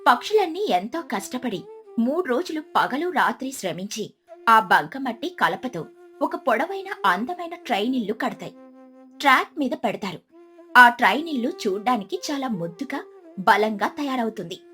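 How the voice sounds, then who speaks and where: A woman narrating a scripted children's story in an expressive storytelling manner. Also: fairly high pitch, moderate pace, faint music behind.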